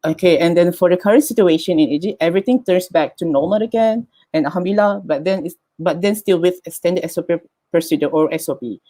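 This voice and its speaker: A young man from Malaysia, a panelist in an online forum, reporting on studying abroad in a halting, self-correcting way. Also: high voice, dark tone, no room sound. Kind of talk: presenting a student experience report.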